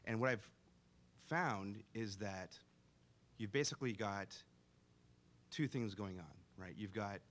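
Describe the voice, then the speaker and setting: A man's voice: fairly low pitch, slow pace, pauses often, a bit bright. A man speaking as a city government technology advisor on a public forum panel, candid and thinking aloud with frequent restarts. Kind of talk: panel discussion.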